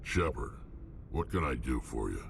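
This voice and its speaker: Extremely deep, gravelly old alien brute. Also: male voice, moderate pace, background noise, exaggerated voice. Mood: gruff, dismissive. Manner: deadpan, curt. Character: centuries-old male alien krogan mercenary, gruff and sardonic.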